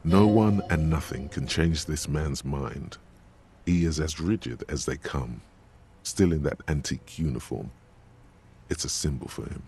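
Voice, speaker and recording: Extremely deep, solemn narrator. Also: male voice, a little slow, dark tone. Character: solemn, omniscient inner-voice narrator of a detective. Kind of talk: scripted game narration.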